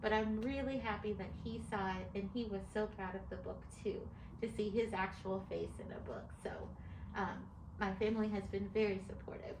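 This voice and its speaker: A woman's voice: medium pitch, fairly even intonation, a little fast. American woman author being interviewed, talks things through step by step, echoey room w/ background noise. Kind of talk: explanatory interview answers.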